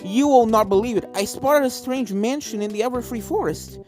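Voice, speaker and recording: Storybook role: young pegasus mare, acted by a narrator, brash, high and loud, excitable and emphatic. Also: brisk, music in the background, lightly acted. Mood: excited.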